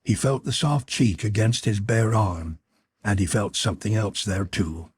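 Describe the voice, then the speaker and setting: A man narrating a classic adventure novel as an audiobook, in a measured, dramatic storytelling manner. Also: on the low side, darkish tone, husky, dry recording, clean studio sound.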